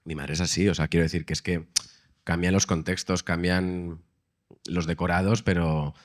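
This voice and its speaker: Man, actor at a press panel, deep low voice, reflective, repeats words. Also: flat delivery, moderate pace. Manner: thoughtful, restarts with repeated words. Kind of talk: live panel talk. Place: festival press event room.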